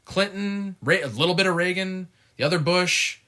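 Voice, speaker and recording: A man's voice: sits high, moderate pace, sharp tone. A middle-aged man co-hosting a casual podcast with his wife, chatting in an easygoing, joking way. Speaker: podcast co-host. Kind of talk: casual chat.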